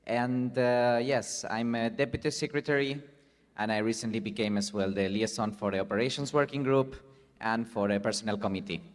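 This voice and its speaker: A man's voice: medium pitch, fairly even intonation, fairly quick. Board member, male, from Spain, modest, hall reverb.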